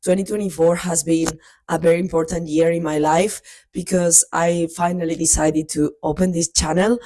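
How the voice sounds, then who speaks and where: A woman chats casually, still getting over a cold. Her voice sits a little low, and she stops and restarts her sentences often. The sound is close and dry, with no room echo. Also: flat delivery, moderate pace, dark tone. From Spain. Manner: chatty, with frequent restarts and fillers. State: recovering from a cold. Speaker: book vlogger. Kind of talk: casual chat. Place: family house, indoors.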